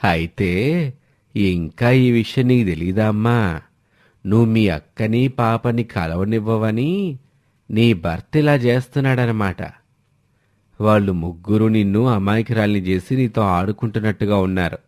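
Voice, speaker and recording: Man playing low-voiced insinuating schemer. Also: a little slow, a little mellow, close to an everyday voice. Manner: insinuating, falsely familiar. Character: scheming, meddling man sowing family discord.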